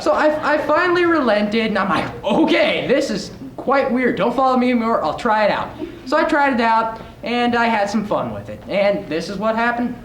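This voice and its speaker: A man's voice: very high-pitched, very animated, normal speed. A teenage boy telling a comic story as a speech, animatedly acting out the dialogue. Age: teens. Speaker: student speech performer. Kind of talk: comedic storytelling speech to an audience. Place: large echoey indoor room before an audience. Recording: very noisy, echoey room recording.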